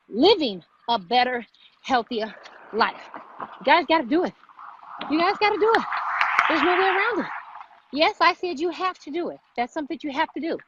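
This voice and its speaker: A woman hosting a motivational live vlog, speaking emphatically and repeating phrases for stress. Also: high-pitched. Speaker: vlog host, fitness motivator. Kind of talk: motivational livestream chat. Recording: muffled, noisy live-stream audio.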